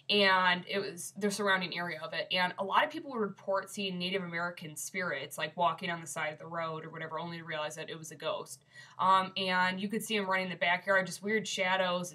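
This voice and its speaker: Young woman with a stuffy nose, rambling casually into a webcam; bright tone, slightly low pitch. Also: brisk. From Michigan. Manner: chatty, rambling with many fillers. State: congested. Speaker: ghost story submitter. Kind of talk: casual video submission.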